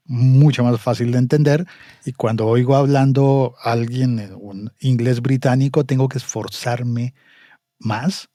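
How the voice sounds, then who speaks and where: A man from Colombia, a guest in a casual podcast chat, speaking in a measured, reflective way. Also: mid-range voice, dark tone, no room sound, studio quality.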